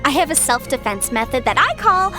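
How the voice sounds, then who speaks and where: Video game casting: bright, bookish young legal adviser, high-pitched, very expressive swings, confident and chatty. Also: female voice, a little fast, music in the background. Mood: confident, explanatory. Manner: articulate, expressive, self-assured, slightly bookish. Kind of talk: scripted game character voice lines.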